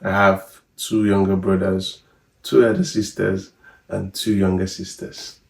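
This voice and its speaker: A man in his thirties with a Nigerian accent, chatting in a relaxed, rambling way on a family vlog. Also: low-pitched, unhurried, gravelly. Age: 30s. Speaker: vlogger. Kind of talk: casual chat. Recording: echoey room from far off.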